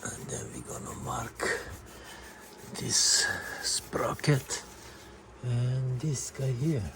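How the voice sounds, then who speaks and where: Slow-talking man vlogging over clear background noise. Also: medium pitch, wildly swooping pitch. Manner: explains step by step, addressing viewers directly. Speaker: vlog host. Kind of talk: casual hands-on vlog talk to viewers.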